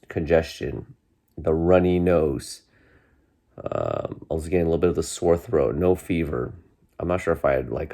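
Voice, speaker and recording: A man in his forties, congested from a cold, chatting casually in a rambling way to his viewers from his bedroom. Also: darkish tone. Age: 40s. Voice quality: deep voice. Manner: rambling, many restarts and fillers. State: congested, getting over a cold. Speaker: vlogger. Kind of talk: casual chat to camera. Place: bedroom, indoors.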